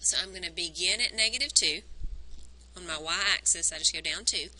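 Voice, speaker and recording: A woman's voice: fairly low pitch, a little fast, bright, forceful tone, slight background noise, rough recording. A woman math teacher working through graphing problems step by step, counting points aloud, her voice strained from nearly losing it, recorded on a phone.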